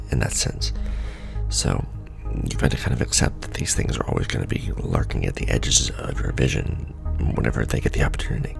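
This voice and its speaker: A man playing a weary, wry official of a shadowy secret society, with an extremely low, heavily creaking murmur over clearly audible music. He rambles through an ASMR roleplay briefing, deadpan and sardonic. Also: exaggerated voice. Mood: patient, explaining. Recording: background music under the voice. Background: background music.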